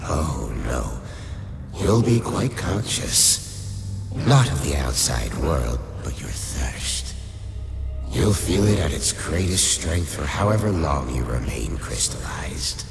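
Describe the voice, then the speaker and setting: Ancient pitiless Shade, extremely deep, slow, detached, cavernous reverb, horror radio play. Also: male voice, very animated, full character voice. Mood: cold, detached. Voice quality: very deep, gravelly. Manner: slow, detached, matter-of-fact. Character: indifferent, matter-of-fact undead Shade, ancient and pitiless. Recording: long cavernous reverb, music underneath.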